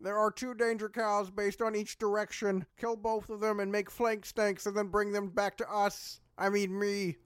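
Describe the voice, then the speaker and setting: A woman's voice: mid-range voice, little pitch movement, normal speed, hardly any pauses, no room sound, clean studio sound, natural voice. Woman playing a pompous bumbling goblin Troll King. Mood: pompous, insistent. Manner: pompous, stumbling over own claims. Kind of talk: comic fantasy audio drama acting.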